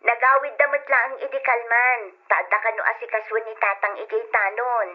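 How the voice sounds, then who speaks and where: An actress playing an overseas worker's wife and dutiful daughter in an old-style radio drama, speaking with an Ilocano accent. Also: female voice, fairly high pitch, level tone, normal speed, lightly acted. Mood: attentive. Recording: dull lo-fi radio sound.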